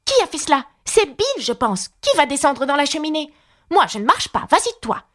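A woman's voice: sits high, big pitch swings, moderate pace, voice pushed up. A woman voicing bustling, bossy little animal workers in a storybook, calling out urgently and colloquially. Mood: squabbling. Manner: urgent, shouting orders, colloquial. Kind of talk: storybook character reading.

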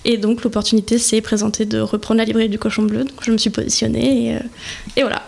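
A woman in her twenties, from French Guiana, answering questions on a local radio show in a measured way with many hesitations. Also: a little high, little pitch movement, creaky, a little mellow, slight background noise. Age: 20s. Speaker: guest bookseller. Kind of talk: radio interview. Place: small local radio studio.

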